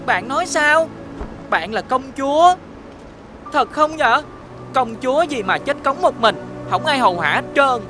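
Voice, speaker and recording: A woman voicing a blunt, forgetful young boy with a childlike manner in an animated fairy tale. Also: sits high, measured pace, music in the background. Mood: sceptical.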